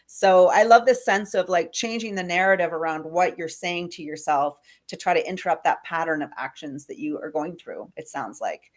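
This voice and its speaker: A woman's voice: lowish voice, normal speed. A woman hosting an interview, chatting eagerly and laughing often, with a cold. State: has a cold. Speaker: podcast host. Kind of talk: casual interview chat.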